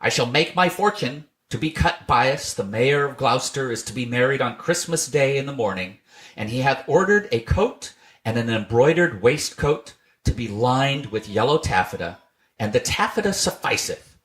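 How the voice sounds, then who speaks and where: A man's voice: medium pitch, expressive, normal speed, fairly bright tone, pitched above the performer's own voice, natural voice. A man voicing a poor, weary old tailor from a storybook, muttering to himself in old-fashioned phrases. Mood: musing.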